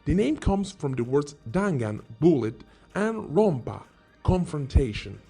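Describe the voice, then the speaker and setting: A man's voice: on the high side, sing-song, unhurried, dark tone, husky. A man hosting a scripted video with game recommendations, playful and emphatic on key words, with joking asides. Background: background music.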